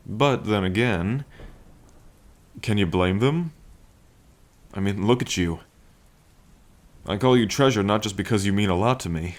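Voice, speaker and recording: Man acting as greedy dragon king, low-pitched, languid, teasing, tender, intimate ASMR roleplay, rain behind. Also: a little slow, long pauses, close to an everyday voice. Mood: teasing, possessive. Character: playful, lovestruck, greedy male dragon king. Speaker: roleplay voice performer.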